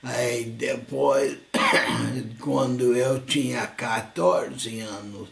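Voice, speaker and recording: Slow, gravelly older American man. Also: mid-range voice, steady tone, a bit bright, roomy sound. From United States. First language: English. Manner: slow, halting, with self-corrections and frequent coughs. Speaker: church teacher. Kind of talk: slow personal recollection, lesson.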